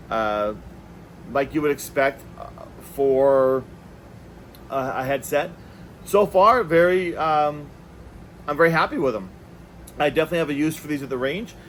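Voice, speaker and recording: A man with a medium-pitched voice presents an unscripted tabletop product review. He is conversational and works out his thoughts aloud in a casual way. A noticeable steady background noise sits behind his voice. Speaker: product reviewer, video host.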